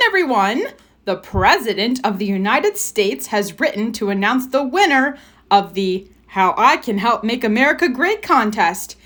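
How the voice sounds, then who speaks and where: Woman as excited, high-voiced male school principal. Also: full character voice. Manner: declaiming, formal. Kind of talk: children's storybook read-aloud.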